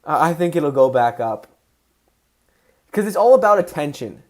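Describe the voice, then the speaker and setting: A man hosting a casual livestream, excitable and answering viewers' chat questions as he goes. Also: a little high, normal speed. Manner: excitable, voice rises when passionate.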